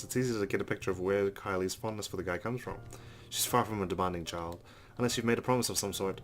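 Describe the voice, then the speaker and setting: Low-voiced, brisk man reading narration. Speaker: let's play host. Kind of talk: reading visual novel narration aloud. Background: game music.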